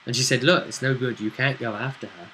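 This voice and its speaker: A man's voice: mid-range voice, fast, bright, forceful tone, a little hiss or hum, rough recording. A man acting as game master, recapping a tabletop role-playing session in an explanatory, conversational way with frequent tag questions. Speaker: game master and show host.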